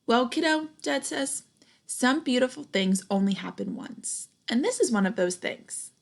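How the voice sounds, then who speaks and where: A young woman reads a storybook to children at an unhurried pace, with noticeable vocal fry. Her delivery is expressive and deliberate, and she adds little comments and questions for the kids. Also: fairly high pitch. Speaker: storybook reader, teacher. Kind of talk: children's picture book read-aloud.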